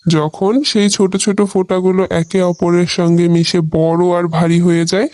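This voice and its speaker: A man as a high-voiced, patient talking cloud. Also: little pitch movement, moderate pace, darkish tone, no echo, close to an everyday voice. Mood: patient, explaining. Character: talking rain cloud, patient, playful, wise teacher. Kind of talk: educational cartoon dialogue.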